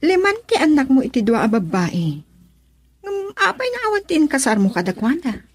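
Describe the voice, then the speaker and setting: A woman's voice: high-pitched, big pitch swings, moderate pace. An actress playing an insistent woman lover in a radio drama. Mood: coaxing, insistent. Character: woman lover pressing an evasive man for commitment. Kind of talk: Ilocano radio drama acting.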